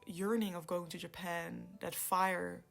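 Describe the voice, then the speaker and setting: A woman from the Netherlands in her thirties, telling her personal story in a soft-spoken, reflective way, laughing often and sometimes trailing into a whisper. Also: on the low side, flat delivery, a little slow, creaky. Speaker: vlogger. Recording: light background music.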